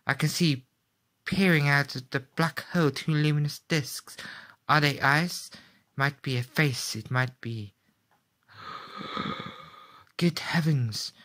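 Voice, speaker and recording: A man playing an excitable radio reporter in an old-style radio play, giving a dramatic, halting eyewitness account. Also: mid-range voice. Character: excitable male radio reporter, live eyewitness, awed and horrified.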